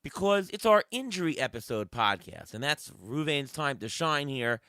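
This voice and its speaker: Man, hoarse, podcast host, bright tone, dry sound, casual chat, rhetorical questions. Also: on the high side, animated, no room sound. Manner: rapid rhetorical questions, thinking aloud.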